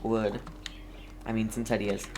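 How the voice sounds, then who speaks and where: A man giving casual, playful gameplay commentary as he streams, often halting and muttering to himself. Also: on the low side, flat delivery, noisy background. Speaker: streamer playing a video game. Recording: game ambience clearly behind the voice.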